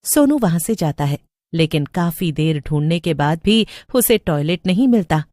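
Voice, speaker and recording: A woman's voice: fairly low pitch, animated, moderate pace, warm tone, no echo, clean studio sound. A woman narrating a scripted horror story as the storyteller.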